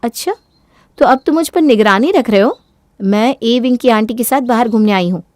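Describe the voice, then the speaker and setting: A self-centred young married woman, acted out by a woman storyteller, speaks in a fairly high, dry-recorded voice. She is bossy, argumentative and sharp-tongued, as a character in a family morality tale. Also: lively intonation, moderate pace, no room sound, natural voice. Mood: irritated, defiant.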